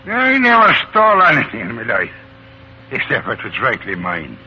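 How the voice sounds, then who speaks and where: An actor playing a wily, excitable little old leprechaun with an Irish accent and a wheedling, theatrical manner in a 1940s radio play. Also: male voice, mid-range voice, moderate pace, a little hiss or hum. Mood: grumbling. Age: older. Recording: dull lo-fi 1940s radio recording.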